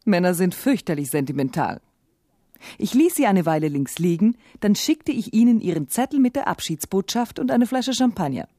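A woman's voice: mid-range voice, sing-song, normal speed, warm tone, dry recording, studio quality. An actress playing a cynical, world-weary murderess in a noir radio play, cool and sardonic in her delivery. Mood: weary, bitter.

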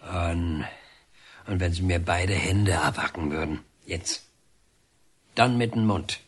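An actor playing a defiant, scornful, earthy painter in a radio drama, halting and muttering with sudden exclamations. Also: male voice, sits low, husky, exaggerated voice.

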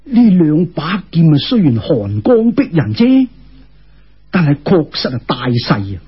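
A man's voice: fairly high pitch, huge pitch swings, a little slow, breathy edge, voice pushed down, heavily acted. A man voicing a zealous, impetuous imperial official in a Cantonese folk tale, speaking urgently and insistently on a lo-fi recording. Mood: doubtful.

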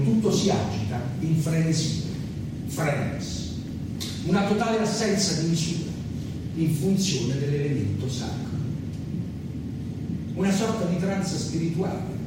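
Male stage satirist, higher-pitched voice, mock-solemn declamation with stretched words, recorded in a very echoey hall. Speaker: stage performer of a satirical monologue. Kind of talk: theatrical satirical solo monologue. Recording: distant echoey hall with loud noise.

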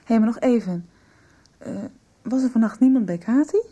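An actress playing a gentle, forgiving injured girl who speaks hesitantly, in a 1990s radio soap. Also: on the high side, moderate pace, natural voice. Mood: concerned. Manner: hesitant, caring.

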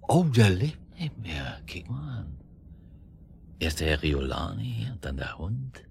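An actor in a radio drama playing a superstitious island fishmonger, ominous and insistent, repeating words for emphasis. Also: male voice, low-pitched, big pitch swings, slow, gravelly, slight background noise, full character voice. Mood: darkly mocking. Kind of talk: German radio drama acting.

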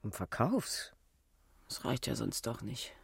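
A woman playing a wild, capricious Parisian courtesan in a radio play, teasing, halting and volatile. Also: extremely low pitch, sing-song, vocal fry, slightly rough, no echo, studio quality, full character voice. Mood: coaxing.

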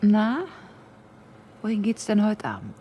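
A woman's voice: medium pitch, very animated, a little slow, mellow, a little hiss or hum. An actress in a German radio drama playing a pensive, slightly nervous young woman with a subdued, intimate way of talking. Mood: casual.

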